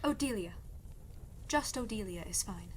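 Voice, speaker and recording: A woman's voice: mid-range voice, animated, measured pace, slight background noise, lightly acted. A woman playing a guarded, exasperated young princess in an audio drama, with a blunt, dry, doubtful way of talking. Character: skeptical, exasperated young princess fleeing arranged marriage, guarded. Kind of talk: scripted audio drama acting.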